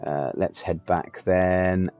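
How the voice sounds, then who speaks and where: A man's voice: deep voice, steady tone, slow, dull audio, soft background music. A man chatting casually while streaming a game, rambling and swearing freely. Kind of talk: casual live commentary.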